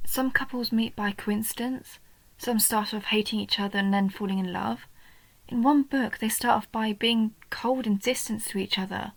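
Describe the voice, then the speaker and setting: A woman voicing a young female goblin in a roleplay audio, a nerdy, sweet and curious bookworm who talks earnestly and eagerly, sometimes softly breathy. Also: a little high, normal speed, dry recording, rough recording. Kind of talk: roleplay audio, intimate one-sided scripted dialogue.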